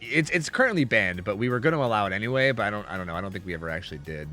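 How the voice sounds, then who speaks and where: A man's voice: fairly low pitch, lively intonation, racing, sharp tone, soft background music. A man chatting casually while streaming a game, rambling, with many fillers and casual swearing. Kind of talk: casual chat while gaming.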